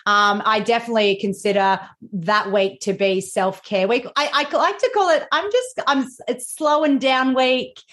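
A woman's voice: a little high, moderate pace, few pauses, a bit bright. A woman with an Australian accent hosting a podcast interview, chatty and enthusiastic, often restarting her sentences. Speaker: podcast host.

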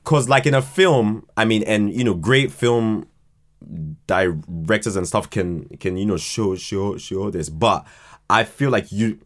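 Slow, halting man, lowish voice. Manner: halting, repeats words and restarts often. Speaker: podcast guest, writer and creator of a fiction podcast. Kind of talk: casual interview chat.

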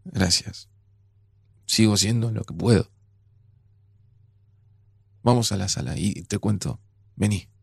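An actor playing a grieving, resigned man in an audio drama, speaking Rioplatense Spanish in a subdued, hesitant, gravelly way. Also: lowish voice, slow pace, pauses often, no echo, exaggerated voice. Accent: Rioplatense Argentine. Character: grieving, resigned widower in a near-future Argentina. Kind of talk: naturalistic audio drama dialogue.

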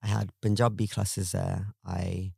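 A man in his twenties tells a personal story, thoughtful and a touch hesitant. His voice is low and gravelly with a mellow tone. The recording is dry and close. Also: flat delivery, measured pace, no echo. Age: 20s. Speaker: guest storyteller.

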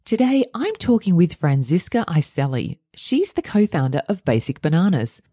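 An Australian woman hosts an interview podcast in a very low, mellow voice that still moves lively through its range. The recording is close and dry, with no room sound. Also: no echo. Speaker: podcast host and coach.

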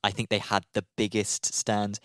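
A man co-hosting a racing podcast in casual chat, relaxed and hesitant with many fillers. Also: fairly low pitch, normal speed, no room sound, studio quality. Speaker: podcast co-host. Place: studio.

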